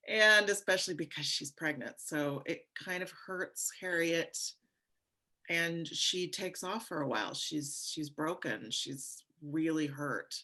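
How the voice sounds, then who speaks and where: A woman's voice: lowish voice, fairly bright tone. A woman, an actress, telling a story casually on a panel.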